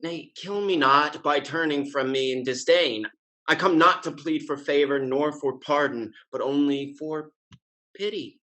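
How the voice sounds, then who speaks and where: A man playing a suave, flattering gentleman in a period comedy, speaking in measured, florid, pleading phrases. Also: fairly high pitch, low-quality recording. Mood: contrite, pleading. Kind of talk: scripted stage comedy acting.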